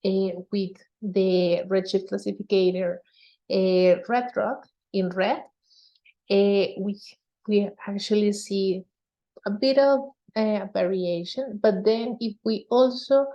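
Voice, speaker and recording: Slow, dark-voiced woman presenting research. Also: medium pitch, dull audio. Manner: halting, frequent hesitations. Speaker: researcher presenting a conference talk. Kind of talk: technical slide presentation.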